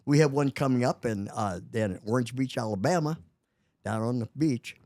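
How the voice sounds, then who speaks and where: A man's voice: medium pitch, moderate pace, a little mellow, dry recording, clean studio sound. A man in his eighties, a guest in a casual interview, talking with many restarts and chuckling often. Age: 80s.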